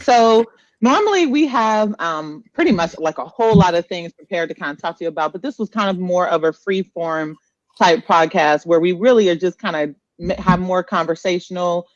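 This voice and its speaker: A woman's voice: on the low side, normal speed, no echo, lo-fi sound. A woman with an American accent hosting a casual podcast in an informal, conversational way, often repeating herself. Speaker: podcast host. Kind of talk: casual live podcast chat.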